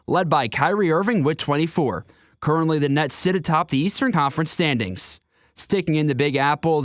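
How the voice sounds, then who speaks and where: A man's voice: a little high, no room sound, clean studio sound. An American man reading a scripted radio sports update in short announcer headlines. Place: radio studio.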